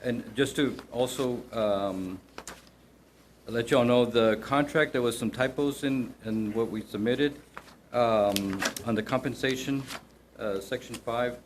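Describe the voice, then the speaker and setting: A city staff man presenting an item to a city commission, halting often with ums and repeated words. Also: medium pitch, slight background noise.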